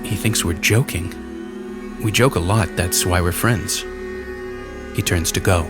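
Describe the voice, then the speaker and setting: Low-voiced man narrating horror, intense. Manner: intense, deliberate, halting short phrases. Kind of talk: dramatic first-person horror narration. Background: music.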